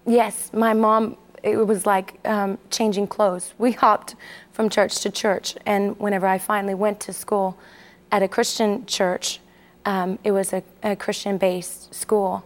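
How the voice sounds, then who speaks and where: A woman's voice: medium pitch, level tone, unhurried. A woman in her forties from Texas, telling her personal story candidly and hesitantly as an interview guest. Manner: candid, hesitant, with many fillers.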